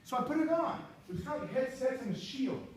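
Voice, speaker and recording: A man in his sixties giving an animated, humorous speech to an audience from a stage, now and then breaking into bits of song. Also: very high voice, a little fast. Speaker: contest speaker. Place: auditorium stage before an audience. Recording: echoey hall from far off, faint hiss.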